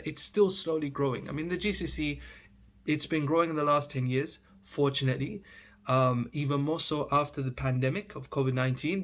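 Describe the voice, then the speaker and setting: A man's voice: on the high side, a bit bright. A man in his thirties from Oman with a British accent, chatting casually to camera in a vlog, with many fillers and restarts. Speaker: vlogger.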